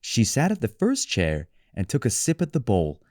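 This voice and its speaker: A man reading a children's story as a storyteller, with deliberate, expressive delivery. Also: medium pitch, no room sound, studio quality. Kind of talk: scripted children's story narration for English learners.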